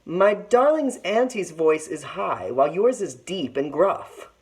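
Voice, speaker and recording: A woman's voice: lowish voice, lively intonation, measured pace, voice pushed up. A woman voicing a wary, bossy young big sister in a storybook, with firm, emphatic phrasing. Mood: suspicious. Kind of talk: storybook read-aloud character voice.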